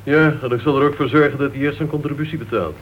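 An actor playing a chatty, genial club member in a Dutch radio play. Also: male voice, medium pitch, fairly even intonation, quick pace, slight background noise, close to an everyday voice. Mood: matter-of-fact. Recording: dull old radio recording.

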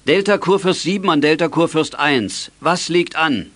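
A man's voice: on the high side, moderate pace, fairly bright tone, lightly acted. A man playing a dependable, good-natured middle-aged father and repairman in a children's radio play. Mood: brisk, calm. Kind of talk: 1980s German children's radio play acting.

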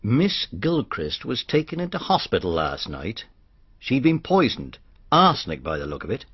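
Plain-spoken police inspector, husky, lilting. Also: male voice, medium pitch, huge pitch swings, normal speed, muffled recording. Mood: matter-of-fact. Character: deferential, matter-of-fact male police inspector. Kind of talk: radio drama acting.

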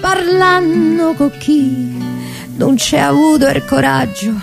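A woman's voice: sits high, slow, gravelly. A woman with a Roman accent singing traditional folk songs, accompanied by acoustic guitar and accordion. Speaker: singer.

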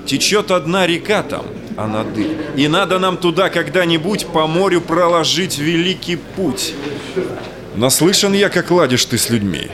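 An actor playing an authoritative Cossack expedition chief, declaiming rhymed verse in an audio drama. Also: male voice, a little high, expressive, normal speed, crowd noise, natural voice. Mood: purposeful.

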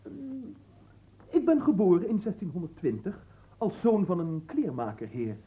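A man's voice: high-pitched, huge pitch swings, moderate pace, warm tone, rough recording. A man acting in a radio drama as a humble, earnest young manservant, speaking formally and politely with some hesitance. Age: young adult.

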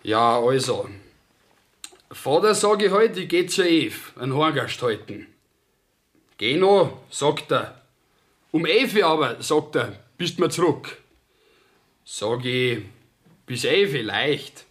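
A man's voice: a little high, animated, slow pace, long pauses, a bit bright. An actor playing a plain-spoken, stubborn young peasant in a Bavarian dialect radio play, telling his story in an artless, rambling rustic way. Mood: earnest recounting.

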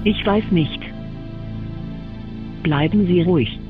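An actor playing a calm, detached helpline voice in a radio drama, measured and formulaic. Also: male voice, high voice. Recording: narrow muffled line, music behind.

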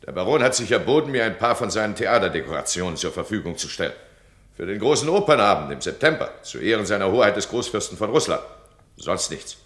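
An actor playing a domineering duke who scolds his subject in a radio play, his voice swinging between cold and loud. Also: lowish voice, big pitch swings, quick pace. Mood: imperious. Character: domineering stern duke, absolute ruler. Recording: roomy radio drama studio.

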